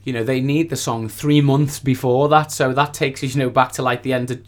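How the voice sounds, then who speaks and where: A man's voice: mid-range voice, steady tone, brisk. A man speaking as a singer-songwriter guest in a casual interview, effusive and rambling, often repeating his phrases.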